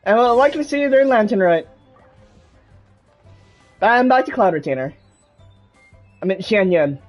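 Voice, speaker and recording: A woman's voice: mid-range voice, expressive, normal speed, muffled recording. A woman streaming a game with casual, chatty commentary. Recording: game music behind the voice.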